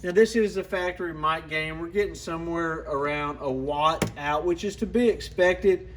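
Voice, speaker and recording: Casual man explaining gear, slightly higher-pitched voice. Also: moderate pace, fairly bright tone. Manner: plainspoken, explanatory, informal. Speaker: product reviewer and channel host. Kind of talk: casual hands-on gear walkaround.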